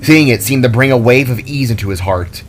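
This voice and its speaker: A man's voice: mid-range voice, a little fast. Man narrating a dramatic story over music. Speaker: author and narrator. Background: soft music.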